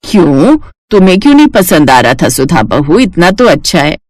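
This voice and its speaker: A woman's voice: lowish voice, sing-song, moderate pace, a little mellow, no room sound, clean studio sound, voice pushed down. A woman voicing an elderly, commanding village mother-in-law in a cartoon family story. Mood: puzzled.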